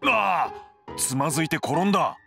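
A man's voice: fairly high pitch, expressive, normal speed, vocal fry. An actor playing an easygoing, amused male friend in an anime comedy, casual and teasing. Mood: watchful. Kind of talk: Japanese anime comedy voice acting. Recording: music playing behind the voice.

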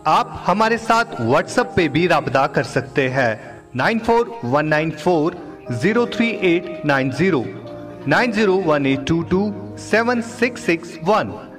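Slow, higher-pitched male advert announcer. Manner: deliberate, list-like announcing. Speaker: advertisement voice-over. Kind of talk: scripted promotional narration. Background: music.

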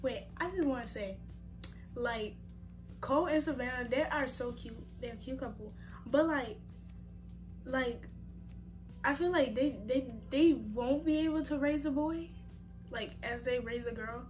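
A woman's voice: sits high, measured pace, long pauses, darkish tone, faint music behind, rough recording. A young woman hosting a reaction video, talking casually and rambling with lots of fillers, her voice worn from getting sick. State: losing her voice from sickness. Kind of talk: casual slangy chat to viewers.

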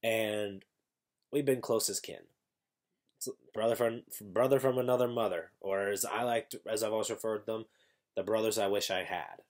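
A young man with a somewhat low voice talks casually into a webcam in a small room at home. He sounds congested from an irritated throat. He rambles, restarts sentences and keeps correcting himself. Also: steady tone, moderate pace, a little mellow. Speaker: YouTuber. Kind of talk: casual vlog talk to camera.

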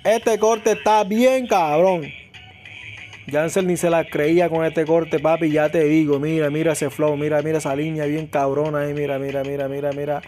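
A man, narrating a haircut in his small barbershop in an excited, slangy way, repeating words for hype. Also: on the high side, normal speed, soft background music. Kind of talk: casual haircut vlog narration.

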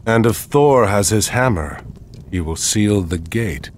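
An actor playing a resolute heroic military commander in a video game cutscene. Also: male voice, lowish voice, lively intonation, unhurried, a little hiss or hum. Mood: grave, determined.

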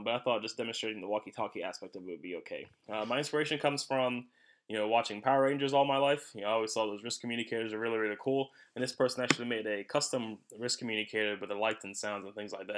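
A man's voice: on the low side, a bit bright. A man narrating an unscripted electronics tutorial as a hobbyist, explaining things informally and ramblingly, with "you know" sprinkled through. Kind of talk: casual unscripted tutorial narration over screen capture.

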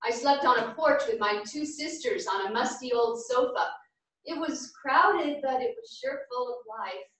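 A woman's voice: fairly high pitch, moderate pace, fairly bright tone, natural voice. An actress playing an earnest, hopeful, sincere older woman in a theatrical staged reading. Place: small theatre stage, far camera. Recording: echoey theatre, distant microphone.

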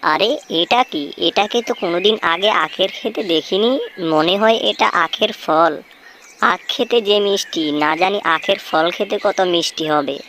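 A greedy, silly fox from a cartoon fable acted out by a woman chatters to itself and exclaims. The voice sits a little low, with faint birdsong and ambient noise behind it. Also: measured pace, fairly bright tone. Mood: eager, curious. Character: sly, foolish, greedy chicken-thief fox. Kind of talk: cartoon folk tale character acting.

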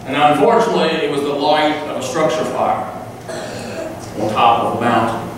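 A man in his sixties delivers a sermon, telling stories in a folksy way with flashes of dry humor. His voice rings through a big, echoey sanctuary and comes from some distance from the mic. A steady room hiss sits underneath. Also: fairly high pitch, normal speed. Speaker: pastor. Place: large church sanctuary. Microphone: far camera, room pickup.

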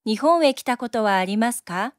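A woman reading short phrase prompts for a language course in a neat, brisk way. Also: fairly high pitch, expressive, no room sound, studio quality. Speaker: Japanese prompt narrator.

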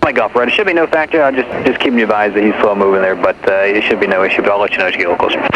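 A man's voice: lowish voice, rapid-fire. A man working as an air traffic controller, giving procedural calls over aviation radio. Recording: dull, noisy aviation radio transmission.

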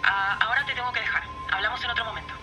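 An actress with a Rioplatense accent playing a businesslike, cautious woman researcher, speaking in a hurried way over a phone call in an audio drama. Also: fairly high pitch, over music. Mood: serious, cautious. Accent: Rioplatense Spanish. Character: Cristina, brisk, cautious woman researcher friend. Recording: phone call with clear ambient noise.

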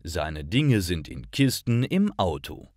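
A man reading a scripted story for language learners, with clear and careful, expressive enunciation. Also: deep voice, slow, no room sound, studio quality. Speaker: narrator of stories for language learners.

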